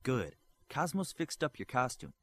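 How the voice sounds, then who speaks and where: A man's voice: medium pitch, big pitch swings, measured pace, a bit bright. A man voicing a dry, matter-of-fact female healer heroine in an audiobook.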